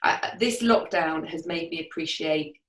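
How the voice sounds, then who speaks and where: Brief: lower-voiced woman, lively, set back from the mic. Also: unhurried. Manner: enthusiastic, laughs often. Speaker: guest, networking event organizer. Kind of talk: casual video-call chat. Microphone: video call. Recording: roomy echo, distant from mic.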